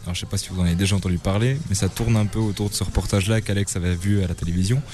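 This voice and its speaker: Male musician, lowish voice, relaxed explanatory interview answers. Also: racing. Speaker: rock band member.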